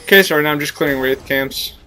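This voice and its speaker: A man's voice: on the high side, fast talker, sharp tone. Stuffy-voiced young man explaining his game. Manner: explanatory, coaching tone with many fillers. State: stuffy nose and sore throat from a cold. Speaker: gameplay commentator. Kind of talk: casual game commentary.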